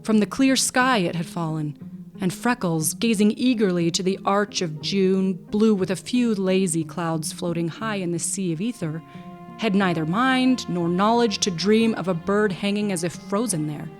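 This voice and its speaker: A woman narrating a literary story from a script, expressive and measured, with a dramatic storytelling delivery. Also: medium pitch, soft background music. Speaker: audiobook narrator.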